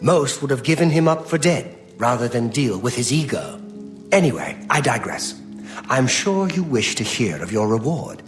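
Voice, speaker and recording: A man's voice: mid-range voice, big pitch swings, moderate pace, close to an everyday voice. An actor playing a gracious middle-aged count and doting father in a fantasy game, speaking formally and eloquently. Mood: gracious, relieved. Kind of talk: fantasy video game acting. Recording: roomy echo, faint music.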